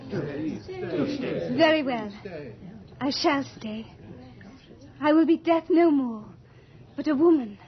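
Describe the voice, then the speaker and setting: An actress playing Death as a beautiful, imperious lady in a theatrical radio drama, speaking in a grand, coquettish, deliberate way. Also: sits high, lively intonation, full character voice. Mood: grave, commanding. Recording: muffled vintage radio drama recording.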